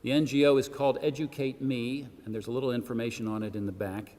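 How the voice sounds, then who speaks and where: A man delivering a formal public speech with measured, emphatic oratorical phrasing and the occasional rhetorical aside. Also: medium pitch, little pitch movement, a little mellow. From Senegal. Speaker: arts organisation president giving a launch speech. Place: church hall, addressing a gathering. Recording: long echoing reverb of a large hall.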